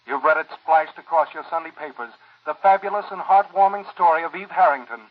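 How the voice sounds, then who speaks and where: A man's voice: fairly high pitch, fairly quick, close to an everyday voice. An actor playing a gushing, dramatic male Hollywood gossip commentator on 1940s radio. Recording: muffled, tinny old radio sound.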